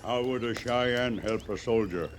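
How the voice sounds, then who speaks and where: A man's voice: medium pitch, a little slow, lightly acted. An actor playing a weary, proud Cheyenne band leader and bereaved father in a radio western, speaking solemnly in formal, simple phrases. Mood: weary, wary. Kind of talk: 1950s radio western acting. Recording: noisy vintage radio western recording.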